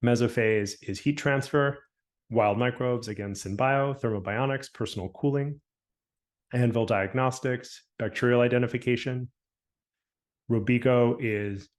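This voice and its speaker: A man presents slides over a video call, working through a list in an even, businesslike way. His pitch sits in a middle range. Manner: brisk listing, slowing on names. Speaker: program staff presenting.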